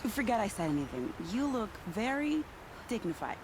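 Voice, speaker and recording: Woman playing a lively, teasing soldier. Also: mid-range voice, normal speed, background noise, lightly acted. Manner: hesitant, teasing. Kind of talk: video game drama acting. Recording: clear game ambience behind voice.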